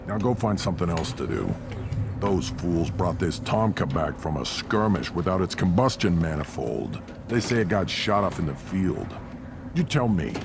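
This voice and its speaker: An actor playing a gruff, irritable alien mechanic in a video game, dismissive and exasperated. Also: male voice, deep voice, full character voice. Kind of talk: video game character acting. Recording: noisy game ambience behind.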